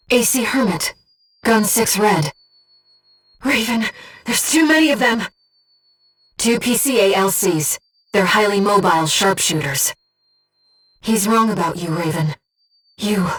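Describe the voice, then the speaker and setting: An actress voices an ethereal young female spirit who guides a pilot through a video game. She is earnest and devoted, speaking in a mid-pitched voice with gentle, exact phrasing. The sound sits a little away from the microphone. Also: distant mic, close to an everyday voice. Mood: urgent, alarmed, then tender, resolute. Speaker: mission operator companion. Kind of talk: scripted video game voice acting.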